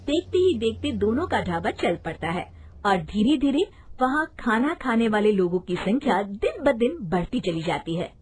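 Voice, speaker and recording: A woman's voice: fairly high pitch, very animated, moderate pace, a little mellow, breathy edge. A woman storyteller giving scripted narration of a children's bedtime tale.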